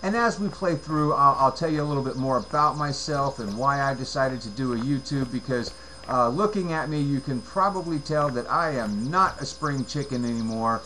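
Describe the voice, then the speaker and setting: A man in his late forties from the United States, talking through a video game in a rambling, halting way, with many fillers and repeated words, on a headset mic in a room at home. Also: medium pitch, bright, forceful tone, slight background noise, low-quality recording. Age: 40s. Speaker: let's play gamer. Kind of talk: casual gameplay commentary.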